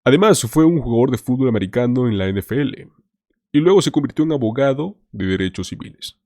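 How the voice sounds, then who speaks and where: A man's voice: mid-range voice, animated, brisk, a little mellow, dry recording, studio quality. A man hosting a political commentary show, talking to viewers in an emphatic, scripted way. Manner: emphatic, addresses viewers as "señores".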